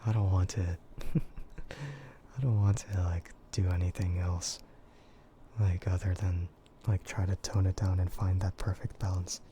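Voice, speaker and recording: Young male streamer, soft whispery voice, deep and dark in tone, slow and hesitant. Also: fairly even intonation, a little hiss or hum. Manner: hesitant, full of fillers and quiet laughs. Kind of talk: soft-spoken ASMR chat with viewers.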